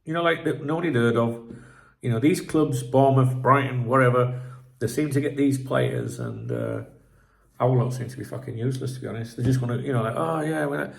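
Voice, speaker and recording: A man's voice: medium pitch, expressive, brisk, gravelly. A man with a blocked nose hosting a casual football commentary video, rambling and frank, swearing freely. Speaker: football channel host.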